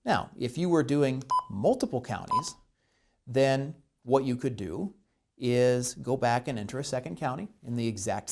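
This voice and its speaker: A man presenting a step-by-step instructional demonstration, explaining each step deliberately. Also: mid-range voice, creaky, noisy background.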